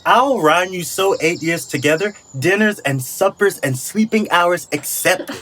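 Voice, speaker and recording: A woman's voice: on the low side, lively intonation, a little slow, fairly bright tone, poor audio. A woman playing a mocking, bawdy and witty court fool in a Shakespearean radio comedy. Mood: teasing. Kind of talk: Shakespearean comic acting for radio play. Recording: faint background noise.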